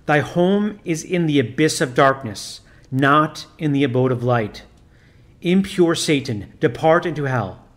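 Stern exorcist priest , read by a man: loud, formal, commanding, a bit high in pitch. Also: lively intonation, measured pace. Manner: loud, commanding, formal old-fashioned speech. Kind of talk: audiobook reading.

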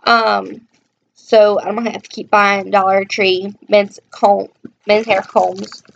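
A chatty young woman talks her way through a show-and-tell from her bedroom, rambling and filling pauses with ums. Her voice sits at an ordinary pitch. It comes through a dull, lo-fi webcam mic with a faint hiss underneath. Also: little pitch movement, gravelly. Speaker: vlogger. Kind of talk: casual show-and-tell vlog. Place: bedroom, indoors. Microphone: webcam at close range.

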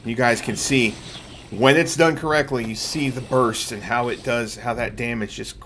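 A man's voice: mid-range voice, moderate pace, background noise. A middle-aged man giving casual, explanatory live commentary to viewers as he plays a video game. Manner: explanatory, talking through play to viewers. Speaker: gaming streamer. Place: indoor room at home. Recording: game music behind the voice. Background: video game music.

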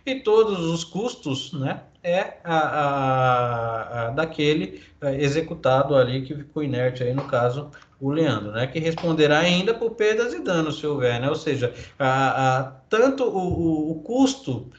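A fairly high-voiced man with a Brazilian Portuguese accent lectures as a law professor on a video call. He reads legal text and then explains it with lots of fillers and tag questions. The audio is roomy and dull, like a lo-fi call recording. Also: lively intonation, measured pace, few pauses. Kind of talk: online lecture reading and explaining legal articles.

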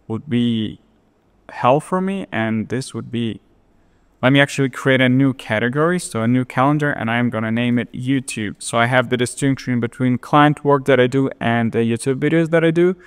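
Ukrainian-accented young man, smooth voice. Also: medium pitch, in a large hall. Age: young adult. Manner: relaxed, explanatory, frequent fillers. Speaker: web designer and YouTuber. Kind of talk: screen-share tutorial. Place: indoors by a large window.

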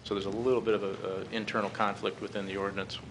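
A middle-aged American man giving a halting, measured formal briefing at a podium microphone. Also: fairly low pitch, level tone. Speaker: presenter to a city council. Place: council chamber, standing at a podium. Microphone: podium gooseneck microphone. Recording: clearly noisy meeting-room recording.

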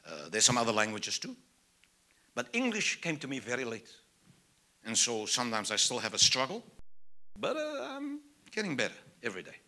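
An older man from South Africa, a native Afrikaans speaker with a South African accent, preaching from a church pulpit, moving from soft asides to loud, emphatic preaching. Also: mid-range voice, huge pitch swings, normal speed, long pauses. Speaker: evangelist. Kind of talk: sermon. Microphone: pulpit mic, medium camera.

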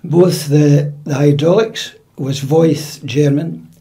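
An older Scottish man reminisces at home in an easy, measured way. His voice sits a little higher than most men's but has a warm, mellow tone. Now and then he restarts a phrase as he remembers details. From Scotland. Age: 70s. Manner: measured and matter-of-fact, with occasional restarts. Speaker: interview guest, retired drummer. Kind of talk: reminiscing in a relaxed home interview. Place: dining room in a private house.

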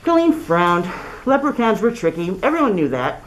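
A middle-aged woman narrates a children's picture book. Her delivery is expressive and deliberate, with lively swings in pitch. She speaks through a cloth mask in a small indoor room. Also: background noise. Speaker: children's story reader. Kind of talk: read-aloud storybook narration. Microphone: camera a few feet away, speaking through cloth mask.

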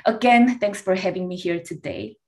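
A Korean-native woman in her thirties reads her prepared panel remarks at a careful, even pace. Her voice sits a little low for a woman. She comes through a video call. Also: moderate pace. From South Korea. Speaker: panelist, researcher.

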